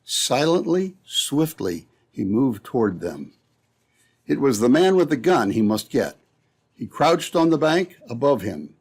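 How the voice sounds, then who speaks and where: A man's voice: medium pitch, big pitch swings, measured pace, darkish tone, husky. A man narrating as an old-time radio announcer, with scripted, dramatic and emphatic delivery.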